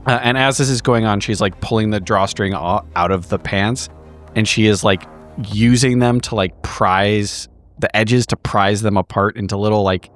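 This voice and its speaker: Guy with lowish voice improvising game action, lots of likes, faint music. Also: steady tone. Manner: casual, halting, filler-heavy. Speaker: tabletop roleplaying player. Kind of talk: improvised descriptive narration.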